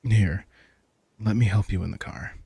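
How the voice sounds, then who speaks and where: A man playing a protective, wry and tender vampire king in an intimate audio roleplay, soft-spoken and casual. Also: lowish voice, a little slow, vocal fry, noisy background. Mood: caring, casual. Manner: soft-spoken, casual, reassuring, occasionally swearing. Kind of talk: intimate audio roleplay, one-sided dialogue.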